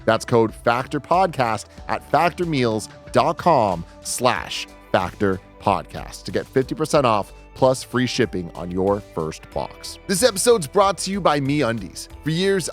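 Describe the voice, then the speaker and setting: A man who hosts a podcast, delivering a scripted sponsor read. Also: fairly high pitch. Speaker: podcast host reading sponsor ads. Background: background music.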